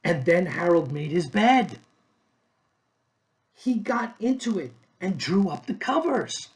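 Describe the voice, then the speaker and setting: Slow, expressive man reading a storybook. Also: sits high. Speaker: storybook reader. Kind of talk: expressive children's story read-aloud.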